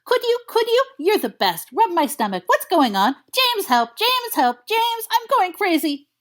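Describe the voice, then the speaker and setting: A woman voicing a young man whose mind has turned half dog, gushing and excitable. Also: very high-pitched, measured pace, pitched above the performer's own voice, full character voice. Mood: giddy. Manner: breathless, gushing, yippy.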